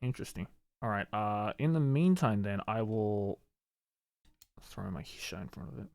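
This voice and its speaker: A man streaming casually as he learns a board game, hesitant and self-deprecating, quick to laugh. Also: fairly low pitch, lively intonation, creaky, a little mellow, no echo.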